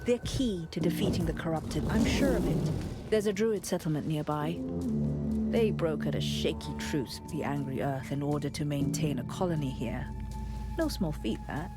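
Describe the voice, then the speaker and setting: A woman's voice: low-pitched, normal speed, loud music behind the voice, natural voice. An actress voicing an eager woman explorer and scholar in a video game, speaking in a formal, declamatory and enthusiastic way. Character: eager woman explorer-scholar, awed guide to wondrous ruins.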